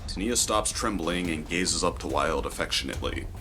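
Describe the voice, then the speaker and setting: A man's voice: low-pitched, expressive, normal speed, pushed and bright. A man giving scripted narration of the action in an audio drama, as its narrator.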